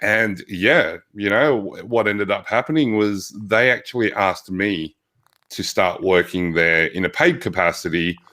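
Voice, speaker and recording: A man with an Australian accent, a physical therapist telling stories as an interview guest, loud and reflective, often restarting his sentences. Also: fairly low pitch, unhurried. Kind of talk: conversational interview.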